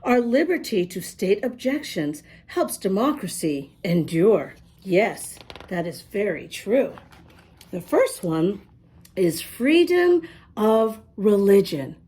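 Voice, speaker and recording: A woman reading a picture book aloud to young children, expressively, pausing to ask them questions. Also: medium pitch, very animated, mellow, husky. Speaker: children's storybook reader and host.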